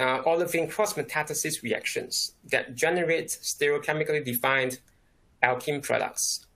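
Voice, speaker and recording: Man in his thirties, scientist presenting over webcam; gravelly, halting with fillers. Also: fairly high pitch, fairly bright tone. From Singapore. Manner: measured, many "um" fillers. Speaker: chemist giving a symposium talk. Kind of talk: recorded academic talk. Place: indoor office.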